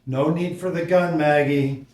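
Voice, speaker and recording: Guy doing a gentle dad, soft and reassuring, bit high, far from mic in a roomy space. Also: steady tone, a little slow, lightly acted. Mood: earnest. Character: gentle, loving father returned. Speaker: actor in a table read. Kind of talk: screenplay table read. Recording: echoey room, away from the mic.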